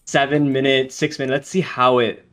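A young man co-hosting a podcast, telling stories in an animated way and acting out what others say. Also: mid-range voice, moderate pace. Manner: animated storyteller, acts out quotes. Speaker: podcast co-host. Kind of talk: casual podcast chat.

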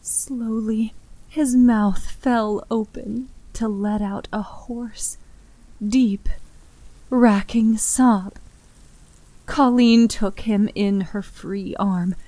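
A woman giving a dramatic scripted narration of a horror story in a grave, breathy manner, often dropping to a near whisper. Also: a little high, fairly even intonation, extremely slow, mellow, dry recording.

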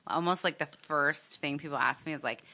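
A woman's voice: deep voice, a little fast, pushed and bright. A woman from Miami chatting casually with friends, wry and teasing. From Miami, Florida. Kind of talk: casual group chat.

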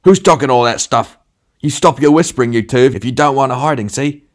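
Storybook bully played by a male reader: big coarse man, shouting, threatening, pitched up and loud. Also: moderate pace, natural voice. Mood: angry, threatening.

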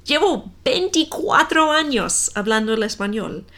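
A woman in her forties with a non-native accent, reading a simple story slowly and carefully for language learners. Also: on the high side, big pitch swings, fairly bright tone. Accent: non-native Spanish accent. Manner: careful, deliberate reading with clear pauses. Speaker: Spanish teacher.

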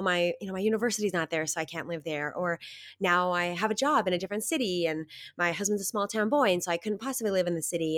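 Upbeat woman with a slightly nasal cold. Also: lowish voice. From Canada. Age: 30s. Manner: upbeat, animated, laughs often. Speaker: guest, success coach. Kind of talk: conversational storytelling.

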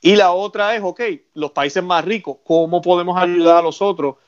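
A Puerto Rican man hosting a talk show, holding forth earnestly with a preacher's conviction. His voice sits a little high for a man, and the sound is dull and lo-fi. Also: steady tone, normal speed. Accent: Puerto Rican Spanish. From Puerto Rico. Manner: earnest, preaching tone with religious references. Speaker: radio show host.

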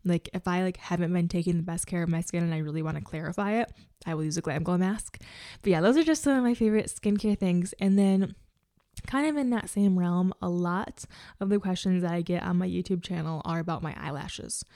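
Mid 20s girl just chatting, kinda low soft mellow voice, girly and into it, dry close recording. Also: brisk, no echo. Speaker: beauty content creator. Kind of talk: casual chat.

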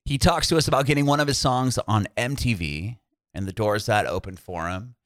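A man's voice: mid-range voice, a little fast, dry recording, clean studio sound. A man hosting a podcast interview over a video call, upbeat and laid-back. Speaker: podcast host.

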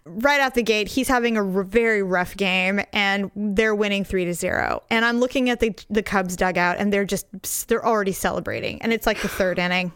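A middle-aged woman, slightly hoarse, telling a story in casual, animated chat and laughing often. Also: mid-range voice, normal speed, hardly any pauses, no echo, clean studio sound. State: hoarse, recovering voice.

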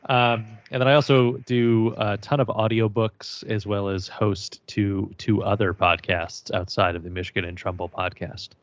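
Man, low deep voice, easygoing, joking, dry close sound. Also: fairly even intonation, normal speed, hardly any pauses, no echo. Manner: easygoing, joking, chuckles often. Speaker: podcast guest, voiceover artist. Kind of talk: casual podcast chat.

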